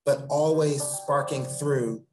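A man's voice: medium pitch, fairly even intonation, takes it slowly, soft background music. A man in his thirties speaking earnestly and eloquently as an interview guest on a video call from a room at home. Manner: earnest, eloquent, emphatic repeated phrasing. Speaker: theatre director, interview guest. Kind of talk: thoughtful interview talk.

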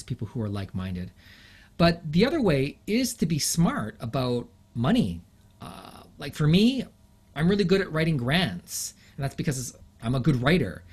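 Thoughtful middle-aged man, animated delivery. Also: mid-range voice, slightly rough. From England. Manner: thoughtful, animated, with frequent fillers and laughs. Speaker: interview guest, artist. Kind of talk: reflective interview conversation.

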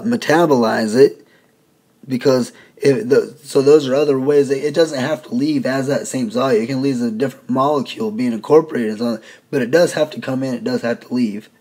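A hoarse man giving a casual, folksy whiteboard lecture as a teacher. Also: medium pitch, darkish tone. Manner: folksy, conversational explaining.

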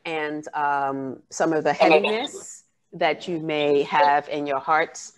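Low-voiced woman giving an earnest keynote. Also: rough recording. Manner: earnest, measured, with frequent fillers. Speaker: keynote speaker. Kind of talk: academic keynote talk. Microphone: video call.

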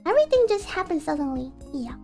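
A woman's voice: high voice, very animated, fast talker, darkish tone. A woman streamer giving a casual self-introduction, chatty and insistent. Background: faint music.

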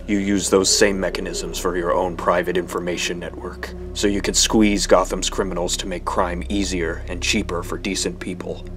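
Guy doing a grave masked crimefighter, super low monotone, restrained n stern, audio drama with music behind. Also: close to an everyday voice. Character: stern, guarded, adult masked male vigilante.

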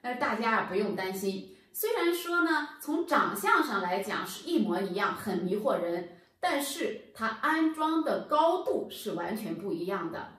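A woman's voice: mid-range voice, big pitch swings, normal speed, fairly bright tone, far from the mic. Woman explaining in a very echoey room. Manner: patient, explanatory, addresses viewers directly. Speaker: HVAC channel presenter. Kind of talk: instructional explainer. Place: plain indoor room against a bare wall.

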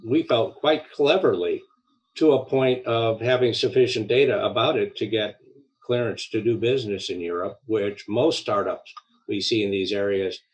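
An older man hosts a conversational interview over a video call, pausing often as he phrases things. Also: fairly low pitch, lo-fi sound. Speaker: podcast host. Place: indoor home room, video call. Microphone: headset on a video call.

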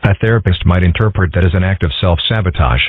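A man voicing a calm, philosophical young boy fox in a measured, analytical manner for a cartoon comic dub. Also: low voice, husky. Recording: thin, band-limited, phone-like sound.

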